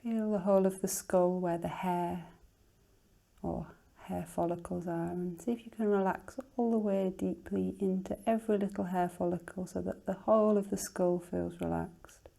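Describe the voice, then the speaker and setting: A woman teacher leading a guided relaxation in a soothing, unhurried, gently instructing manner, her soft voice turning breathy at phrase ends. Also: fairly low pitch, fairly even intonation.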